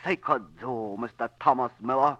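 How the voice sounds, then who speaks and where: A man's voice: mid-range voice, moderate pace, fairly bright tone, close to an everyday voice. An actor playing a courteous, principled hired man in a 1940s radio drama, speaking formally and deferentially in clipped phrases. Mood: solemn, polite. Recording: dull vintage 1940s radio recording.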